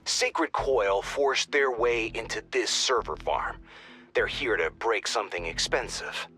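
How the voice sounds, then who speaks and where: An actor playing a joking squad handler on comms in a game, wry and lively in delivery. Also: male voice, on the low side, unhurried, soft background music. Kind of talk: game cutscene voice acting.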